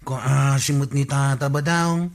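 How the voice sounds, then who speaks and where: An actor playing a chatty, inquisitive, teasing villager in an Ilocano radio comedy. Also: male voice, mid-range voice, steady tone, a little slow, lightly acted. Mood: curious.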